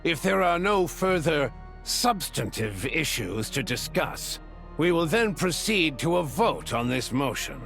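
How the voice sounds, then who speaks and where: A man's voice: fairly high pitch, very animated, measured pace, gravelly, fairly bright tone, soft background music, exaggerated voice. A man voicing a stern assembly chairman in a video game, with a ceremonial, commanding delivery. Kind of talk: video game character acting.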